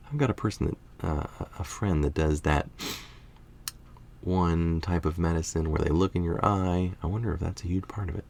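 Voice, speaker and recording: A man's voice: sits low, moderate pace, creaky, a little mellow. A man reading a science article aloud, informally and musingly, with casual asides and the odd stumble over technical words. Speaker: article reader and commentator.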